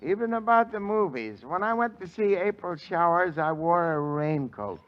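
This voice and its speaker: A man playing a naive, lovestruck sidekick in 1940s radio comedy banter, delivering punchlines slowly. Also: sits high, lively intonation. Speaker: comic sidekick. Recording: dull noisy old radio broadcast.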